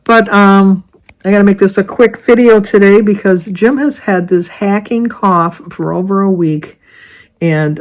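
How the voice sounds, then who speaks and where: Rambling, slightly raspy woman in her seventies. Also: mid-range voice. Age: 70s. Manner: rambling, chatty, wanders off topic. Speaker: vlogger. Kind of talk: casual chat to camera.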